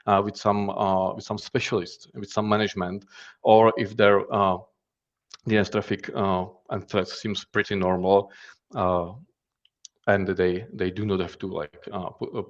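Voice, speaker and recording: A man's voice: low-pitched, little pitch movement, unhurried, dull audio. A man from the Czech Republic with a Czech accent gives a conference presentation in a hesitant manner, often repeating words and phrases. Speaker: threat intelligence specialist giving a conference talk.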